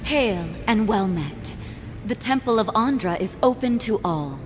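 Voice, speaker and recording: A woman's voice: mid-range voice, expressive, measured pace, long reverb tail, over music, close to an everyday voice. A woman voicing an effusive, welcoming temple priestess in a video game. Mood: delighted welcome.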